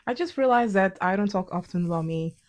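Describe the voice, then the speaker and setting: A woman's voice: medium pitch, animated, fairly quick, darkish tone. A young woman with a Portuguese accent, a native Portuguese speaker, talking candidly in a conversational, reflective personal vlog. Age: young adult. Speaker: vlog host.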